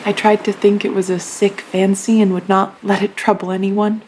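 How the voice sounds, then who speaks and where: An actress plays a gentle young woman, frail and selfless. She speaks haltingly at a middling pitch, with faint hiss behind her, in an audio drama style. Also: level tone, natural voice, poor audio. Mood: quiet, sorrowful. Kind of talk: audio play acting.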